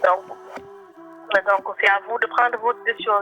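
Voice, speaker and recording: A woman working as a telemarketer, giving a polite, explanatory sales pitch on a phone call. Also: steady tone, unhurried, sharp tone. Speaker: telemarketer selling solar panels. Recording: phone call over faint music.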